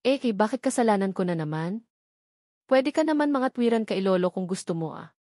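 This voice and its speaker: A woman's voice: mid-range voice, expressive, a little fast, mellow, dry recording, clean studio sound, lightly acted. Woman acting a stubborn, defensive young wife. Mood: annoyed, protesting. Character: proud, stubborn young wife, easily annoyed.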